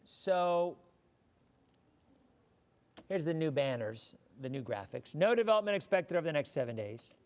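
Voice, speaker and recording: Hoarse male weather host, slightly high voice, loose and repetitive live-stream chatter. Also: animated, measured pace, long pauses. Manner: chatty, often repeats words while thinking. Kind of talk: live-stream chat answering viewer questions.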